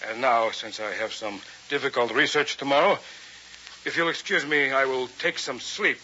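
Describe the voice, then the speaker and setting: A man's voice: medium pitch, moderate pace, pushed and bright. An actor playing a cold, saturnine physicist in his forties, formal, precise and dismissive, in a vintage radio drama. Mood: cold, disdainful. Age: 40s. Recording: muffled vintage radio recording with hiss.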